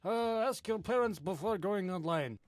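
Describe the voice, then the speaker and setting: A man playing a cheerful vampire pitchman in a comedy mock infomercial, salesmanlike and theatrical. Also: lively intonation, moderate pace. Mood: breezy selling. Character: male vampire pitchman, cheerfully selling to fellow undead.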